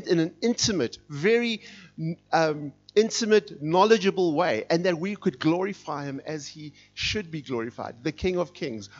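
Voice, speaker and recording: A middle-aged man preaching as a guest in a church, talking with energy and reading scripture with rising force. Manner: energetic, fired up, reads scripture with rising intensity. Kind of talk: sermon. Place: church sanctuary. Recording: dull, muffled low-fidelity sound.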